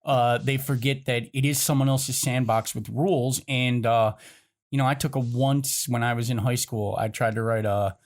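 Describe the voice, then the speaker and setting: A man's voice: mid-range voice, steady tone, moderate pace. A man speaking casually as a podcast panelist, reflective and explanatory in manner. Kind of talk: casual discussion.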